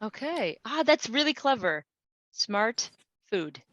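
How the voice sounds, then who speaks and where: A woman's voice: fairly high pitch, sing-song, takes it slowly, fairly bright tone, no echo, highs cut off. A woman hosting an interview podcast, reading prepared introductions and putting questions to a guest.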